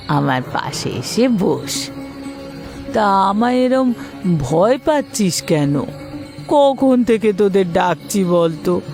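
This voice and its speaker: A woman voicing a hungry old aunt in a ghost cartoon, plaintively calling out in drawn-out words. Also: fairly low pitch, vocal fry, heavily acted. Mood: coaxing, plaintive. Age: older. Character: hungry old aunt feared risen from the dead. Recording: loud music over the voice.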